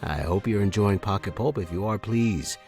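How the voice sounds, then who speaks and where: A man's voice: fairly low pitch, normal speed, darkish tone, faint music behind. A man reading scripted announcements and introductions as the host of a fiction podcast. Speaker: podcast host and story reader.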